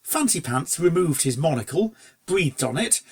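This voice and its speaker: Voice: medium pitch, big pitch swings, moderate pace, darkish tone. A narrator reading a story aloud in a scripted storybook style. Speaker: fan fiction reader.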